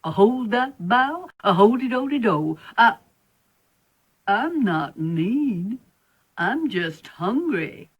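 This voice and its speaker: A woman's voice: fairly high pitch, big pitch swings, slow pace, breathy edge, highs cut off, full character voice. A woman playing a whimsical, hungry spider in a children's storybook play, with a sing-song manner and nonsense catchphrases. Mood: plaintive, hungry. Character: hungry, whimsical spider hunting flies, insists it isn't mean.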